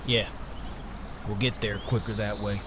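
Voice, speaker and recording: Man acting low, terse rugged biker. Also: level tone, measured pace, lightly acted. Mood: guarded, practical. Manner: terse, plain-spoken. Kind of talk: audio drama acting. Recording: clearly noisy outdoor ambience behind voice.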